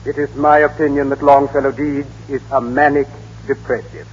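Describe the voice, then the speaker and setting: Male actor as lecturing psychiatrist, pompous, rough husky voice, muffled vintage radio drama. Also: mid-range voice, little pitch movement, normal speed, lightly acted. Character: pompous male Austrian psychiatrist giving expert testimony. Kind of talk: 1930s-40s radio drama acting. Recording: echoey, muffled old radio broadcast.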